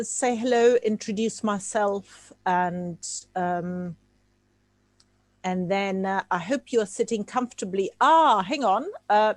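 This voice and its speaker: A woman's voice: medium pitch, no room sound. A woman from Czechoslovakia, a native Czech speaker, giving an informal talk over a video call, hesitant and full of fillers and restarts. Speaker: guest speaker.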